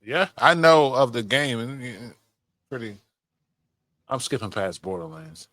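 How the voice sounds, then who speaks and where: A man's voice: mid-range voice, big pitch swings, measured pace, a bit bright, dry recording. A man co-hosting a podcast, chatting casually and bluntly, with opinions and plenty of swearing. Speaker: podcast co-host. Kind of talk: casual chat about movies and games.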